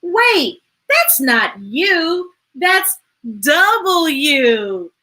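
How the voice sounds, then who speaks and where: A woman hosting a children's storytime, reading aloud in an expressive, playful, emphatic way with chatty asides to viewers. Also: very high-pitched, very slow.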